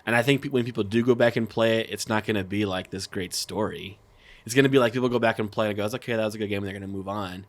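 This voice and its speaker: A man's voice: on the low side, rapid-fire, no echo. A man co-hosting a gaming podcast in casual, slangy speech, repeating phrases for emphasis. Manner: informal, says dude, bro and man. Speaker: podcast co-host.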